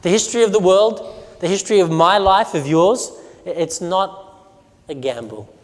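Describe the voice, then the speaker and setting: A man's voice: high voice, expressive, moderate pace. Man preaching in a reverberant hall. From Romania. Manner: storytelling, asks the audience questions. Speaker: pastor. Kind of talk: sermon presentation.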